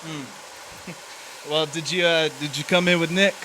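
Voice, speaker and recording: A man's voice: a little high, steady tone, a little slow, sharp tone, slight background noise, poor audio. An actor playing a cocky drifter in a stage drama, needling and flirting with a married woman. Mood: casual, probing. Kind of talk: stage drama performed online, with first-person narration.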